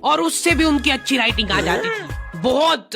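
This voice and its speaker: A woman's voice: sits high, expressive, moderate pace, gravelly. A woman narrating a comic cartoon story in casual slang, with exaggerated mock exasperation. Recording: music behind the voice. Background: faint music.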